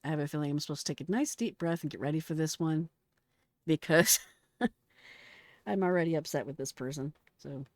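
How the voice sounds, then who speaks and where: Middle-aged american lady reacting casually, slangy and blunt, lowish voice, dry close sound. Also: normal speed, pauses often, no echo. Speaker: retired emergency dispatcher reacting to a call recording.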